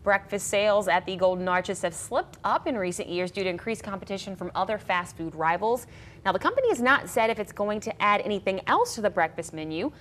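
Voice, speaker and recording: Animated woman news anchor, conversational. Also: medium pitch, a little fast. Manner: informal, conversational delivery. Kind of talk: chatty newscast banter and story reading.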